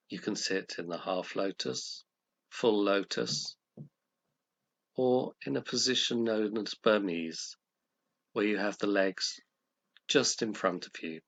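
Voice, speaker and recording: A man leading a guided meditation, speaking in a calm, gentle, measured way with pauses between phrases. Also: fairly low pitch, creaky.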